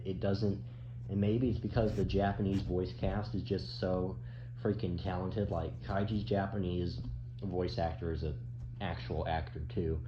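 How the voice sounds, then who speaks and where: Deep-voiced young man rambling in a roomy space. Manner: rambling, halting, swears casually. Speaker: commentator. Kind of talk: casual off-the-cuff opinion chat. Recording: roomy, dull, with clear background noise.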